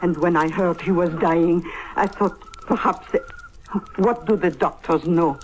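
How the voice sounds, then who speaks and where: A woman acts a kindly madame in a vintage radio comedy, earnest and quick to protest. She sounds indignant, on an old recording with faint noise. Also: lowish voice, darkish tone, lightly acted. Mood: indignant, pleading. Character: indignant, kindly French madame devoted to feeding invalid.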